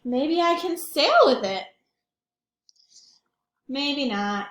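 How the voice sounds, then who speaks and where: A woman's voice: sits high, animated, drawn-out words, fairly bright tone, echoey room. A woman reading picture books aloud to young children, expressively and with questions for her listeners. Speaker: children's read-aloud host, teacher or librarian.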